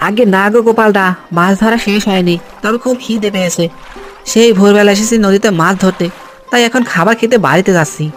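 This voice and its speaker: A man's voice: high voice, a little fast, close to an everyday voice. A man playing a hungry, doubtful village fisherman in a cartoon, chatty and respectful. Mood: grumbling. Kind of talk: Bengali cartoon storytelling dub. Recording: voice over background music.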